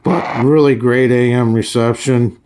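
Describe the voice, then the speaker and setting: A man giving a casual, hands-on review in a halting, folksy way, often restarting his phrases. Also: medium pitch, fairly even intonation, noisy background. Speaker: radio reviewer. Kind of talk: casual hands-on product demonstration.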